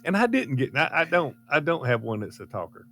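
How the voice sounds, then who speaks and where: A man's voice: a little high, very animated, a little fast, bright, forceful tone. A middle-aged man chatting casually and telling personal stories in a folksy way, repeating himself for emphasis. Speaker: radio show regular telling personal stories. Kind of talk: casual chat, personal anecdote.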